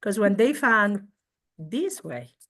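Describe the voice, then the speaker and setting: A middle-aged woman from the Canary Islands with a Spanish accent, a native Spanish speaker, explaining and demonstrating informally in expressive non-native English. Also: mid-range voice, slow. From Tenerife, Canary Islands, Spain. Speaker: guest craft and culture presenter.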